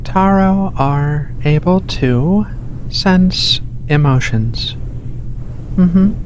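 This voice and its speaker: A performer voicing a gentle giant furry alien researcher in a soft, hushed, soothing ASMR roleplay. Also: rough recording. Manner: soothing, unhurried, reassuring, coaxing. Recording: steady rumbling ship ambience behind voice. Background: low engine rumble of a spaceship.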